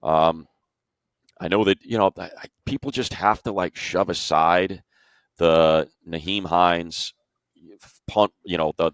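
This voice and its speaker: Very low male voice, American accent, emphatic sports talk, repeats points, dry close sound. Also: clean tone, no echo. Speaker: sports show analyst, play-by-play announcer. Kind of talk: casual sports analysis chat.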